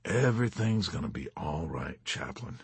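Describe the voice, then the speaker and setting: A man voicing a mournful, reproachful army major who speaks slowly and quietly. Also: low-pitched, sing-song, creaky, husky, no echo, heavily acted. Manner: quiet, slow, heavy lamentation.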